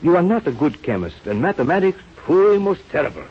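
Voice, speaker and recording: A halting old scientist. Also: male voice, a little high, very animated, husky. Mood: dry, sardonic. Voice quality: halting, broken English with thoughtful hesitations. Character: kindly, gruff, sardonic old European scientist mentor. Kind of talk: 1930s radio drama acting. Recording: dull, noisy old radio recording.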